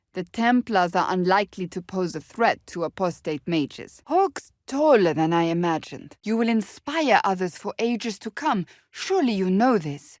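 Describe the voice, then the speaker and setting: A woman's voice: medium pitch, no room sound, studio quality, lightly acted. A woman playing a stern, devout, proud warrior in a fantasy video game, speaking in a formal, clipped and earnest manner. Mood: softer, sincere.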